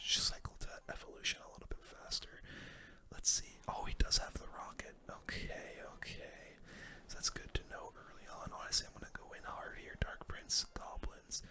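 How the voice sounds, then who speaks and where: Whispering ASMR gaming host. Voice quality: soft unvoiced whisper. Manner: casual, hushed play-by-play. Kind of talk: whispered ASMR game commentary.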